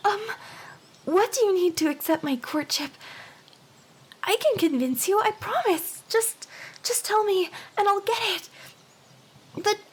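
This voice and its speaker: A woman's voice: extremely high pitch, expressive, unhurried, long pauses. A woman voicing a lovelorn young female harpy in an anime ASMR roleplay, earnest and stammering, sometimes dropping into whispers. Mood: pleading, frantic. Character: hyper, lovelorn young female harpy desperately courting a human.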